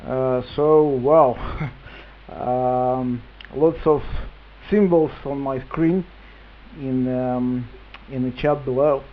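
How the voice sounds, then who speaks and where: A man from Russia with a Russian accent, making casual ham radio chat in halting English and searching for words. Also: medium pitch, fairly even intonation. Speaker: amateur radio operator. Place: at home at a computer. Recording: muffled, slightly noisy radio link recording.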